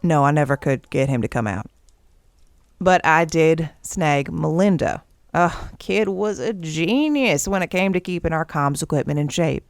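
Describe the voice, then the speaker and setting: A woman's voice: low-pitched, animated, measured pace, no room sound, clean studio sound. An actress playing an old female camp warden in an audio drama, with a wry, folksy, teasing way of talking. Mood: fond, casual. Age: older.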